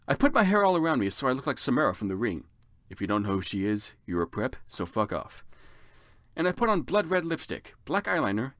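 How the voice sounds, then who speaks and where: A theatrical narrator reads a story aloud in a mock-serious style, with a very lively, swooping pitch. The voice sits in a middle register and comes through close and dry, dropping into comic asides. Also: dry recording. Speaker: narrator of a fan fiction reading. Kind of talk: dramatic comedic reading aloud with asides.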